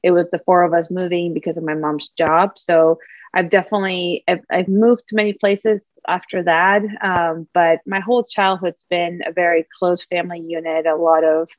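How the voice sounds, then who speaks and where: Low-voiced woman coach, dull dry recording. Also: fairly even intonation, moderate pace, no room sound. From Peru. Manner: earnest, reflective, with restarts. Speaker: career and executive leadership coach. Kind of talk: conversational interview answers.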